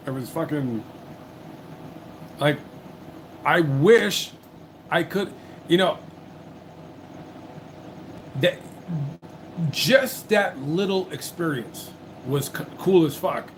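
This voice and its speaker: A man's voice: a little high, lively intonation, extremely slow, long pauses, slight background noise, rough recording. A man hosting a livestream, chatting casually and rambling with lots of swearing and restarts. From United States. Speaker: livestream host.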